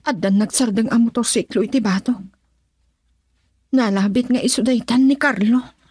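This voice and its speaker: A woman's voice: a little high, moderate pace, a little mellow, natural voice. A woman acting a worried, devoted young wife in an Ilocano radio drama, with an Ilocano accent. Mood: gentle, slightly chiding.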